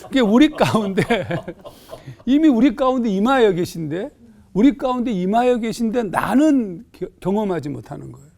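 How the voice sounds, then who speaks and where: A male pastor talks through a scripture passage on a studio panel, pausing on fillers as he thinks. His voice sits high and has a gravelly edge. The tone stays mellow. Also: big pitch swings. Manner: thoughtful, explanatory, with hesitant fillers. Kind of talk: panel discussion explaining scripture.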